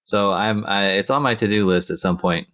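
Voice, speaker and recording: A man's voice: fairly low pitch, normal speed, fairly bright tone. A man chatting casually as a podcast co-host, hesitant and often repeating his words. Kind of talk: casual chat.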